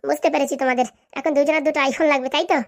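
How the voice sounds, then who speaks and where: A woman's voice: high voice, fairly even intonation, racing. A woman voicing a bossy, scheming village housewife in a comic cartoon, with brash, scolding, rustic speech. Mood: impatient, eager.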